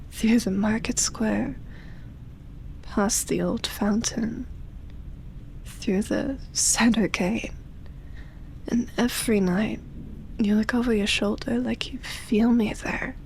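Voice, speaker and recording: Woman acting as ancient female vampire, high breathy voice, whispery, seductive and possessive, extremely slow teasing ASMR roleplay. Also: fairly even intonation, a little mellow, low-quality recording. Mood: teasing, hushed. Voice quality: breathy, often dropping into a whisper. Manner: slow, drawn-out, teasing, with lingering pauses.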